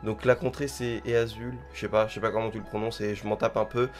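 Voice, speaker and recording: A man's voice: fairly low pitch, little pitch movement, fast talker, faint music behind. A man with a stuffy head cold giving a casual spoken manga chapter review, rambling and thinking aloud as he hedges.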